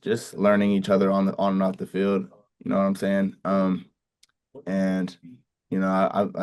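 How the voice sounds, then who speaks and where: A young man from the Bay Area talks through his plays in a casual, halting way, often circling back with ums and restarts. His voice sits very low and stays nearly flat in pitch. It has a gravelly, mellow, dark tone. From Bay Area, California. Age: young adult. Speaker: college football player. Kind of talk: casual interview answers.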